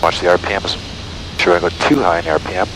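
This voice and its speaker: Male pilot, low register, casual self-narrating chat on headset in a noisy small plane cabin. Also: fast talker. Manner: talks self through tasks. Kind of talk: casual cockpit chat, narrating own flying. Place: small propeller plane cockpit in flight. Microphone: aviation headset. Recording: loud steady aircraft cabin noise.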